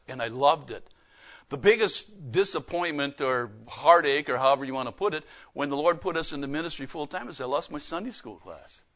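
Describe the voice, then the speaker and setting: A man delivers a sermon in a high, forceful voice. He is folksy and emphatic, moving between personal storytelling and pointed questions to his listeners. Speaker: preacher and missionary.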